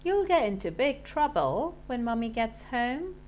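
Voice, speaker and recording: A woman voicing a small, insistent, tattling little sister in a storybook, loud and taunting. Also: on the high side, very animated, measured pace, dull audio, slight background noise, pitched above the performer's own voice.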